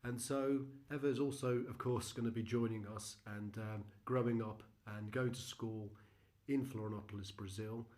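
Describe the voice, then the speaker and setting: A middle-aged British man giving an earnest, measured church presentation about his mission work, pausing often with ums and uhs. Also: mid-range voice, fairly even intonation, a little mellow. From United Kingdom. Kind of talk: recorded slide presentation.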